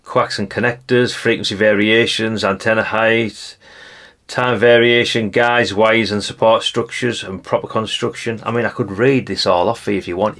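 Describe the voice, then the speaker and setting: Male hobbyist presenter, English accent, deepish and gravelly; loose, colloquial, matter-of-fact commentary, no script. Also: fairly bright tone. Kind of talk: casual unscripted show-and-tell commentary.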